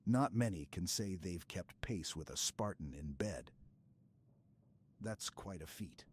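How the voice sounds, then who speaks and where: A man playing a stoic, earnest super-soldier in an intimate sci-fi ASMR roleplay, speaking in a halting, hushed way with dramatic pauses. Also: rumbling low, husky, exaggerated voice. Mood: confiding, warm.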